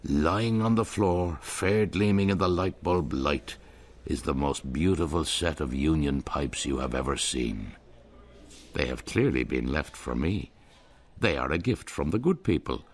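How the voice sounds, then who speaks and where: A man's voice: a bass voice, animated, measured pace. A man narrating a radio drama in a wry, storytelling manner.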